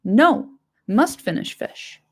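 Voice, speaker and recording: A woman doing a wretched hissing male creature, whiny and high, greedy, whispery, storybook reading. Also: very animated, slow, heavily acted. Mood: sulky.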